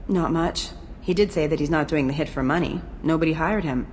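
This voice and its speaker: An actress playing a jaded, tough ex-security chief in a video game, blunt and cynical. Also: female voice, sits low, fairly quick, darkish tone, slight background noise, natural voice. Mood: blunt, hard. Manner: blunt, cynical, matter-of-fact. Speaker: game character. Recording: game soundtrack music behind.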